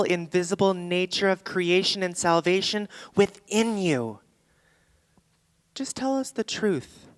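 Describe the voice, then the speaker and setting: A woman's voice: lowish voice, clean tone, close to an everyday voice. A woman playing a brash, candid woman telling her life story in a theatrical stage monologue, in short punchy phrases. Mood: earnest. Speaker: stage actor in a staged reading. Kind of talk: theatrical monologue before an audience. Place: theatre stage, live performance.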